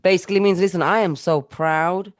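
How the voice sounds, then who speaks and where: A middle-aged woman from India hosts a casual interview. Her voice is smooth and clear, and she gushes praise in long, rambling sentences full of restarts. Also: fairly low pitch, steady tone, brisk. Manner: effusive, rambling, with self-corrections. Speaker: podcast host.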